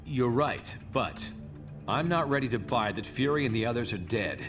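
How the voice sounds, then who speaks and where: A man's voice: medium pitch, lively intonation, moderate pace, natural voice. Game hero lead male actor: principled soldier, firm, earnest, varied intonation, music behind. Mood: grave. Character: resolute, principled male soldier superhero leader. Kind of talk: dramatic video game cutscene acting.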